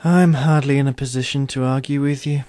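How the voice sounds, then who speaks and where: A man playing an immortal sorcerer in an audio drama, suave and teasing, with measured, formal phrasing. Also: medium pitch, steady tone, darkish tone, no room sound, studio quality, lightly acted. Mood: guarded.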